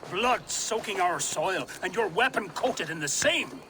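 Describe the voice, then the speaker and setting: A man's voice: sits high, lively intonation, a little slow, a little hiss or hum, full character voice. An actor voicing a furious male chieftain in a video game cutscene who accuses and barks orders. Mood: accusing, furious. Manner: angry, accusatory, barking orders. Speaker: game character. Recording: game audio with loud music.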